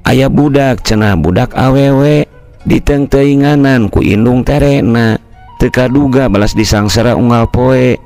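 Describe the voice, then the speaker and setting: A man's voice: mid-range voice, a little slow, a little mellow, faint music behind. A man with a Sundanese accent narrating a scripted folk tale as a traditional storyteller.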